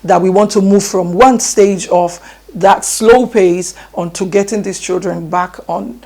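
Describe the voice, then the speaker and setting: A woman with a Nigerian accent speaks as an interview guest. She is earnest and emphatic and often circles back over the same phrase. Her voice sits at a middle pitch. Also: fairly even intonation, unhurried, creaky, dark tone. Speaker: education guest. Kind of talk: interview answers.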